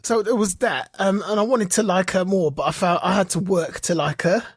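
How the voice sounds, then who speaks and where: A man with a distinctly husky voice, captured dry and without room sound. He speaks as a podcast co-host in casual chat. His opinions come out emphatically, with words repeated for stress. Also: sits high, fairly even intonation, a little fast, dry recording.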